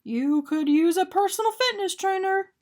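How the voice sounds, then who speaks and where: A woman's voice: very high-pitched, normal speed, darkish tone, voice pushed up. A woman voicing a small, eager male talking doughnut in a picture-book story, bubbly, earnest and exclamatory. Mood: hopeful, pitching ideas. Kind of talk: animated picture-book character reading.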